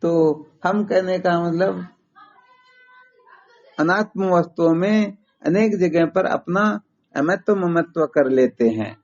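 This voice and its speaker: Male spiritual teacher, slightly high register, didactic and question-led, on a muffled recorded phone call. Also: slow pace, darkish tone. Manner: didactic, explains step by step with rhetorical questions.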